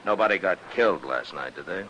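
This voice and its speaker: A man's voice: low-pitched, lively intonation, fast, a bit bright, dull audio, slight background noise. An actor playing a firm, world-weary frontier lawman with a dry, blunt manner in an old-time western radio drama. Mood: firm, challenging.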